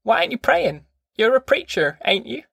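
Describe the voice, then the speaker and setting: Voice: mid-range voice, sing-song, normal speed, vocal fry, a bit bright, slightly rough, no room sound, studio quality, pitched above the performer's own voice, heavily acted. A performer voicing a sulky, bossy elderly grandmother from a novel, with querulous, folksy speech. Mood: bossy, urgent. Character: sulky, fierce, bossy old country grandmother.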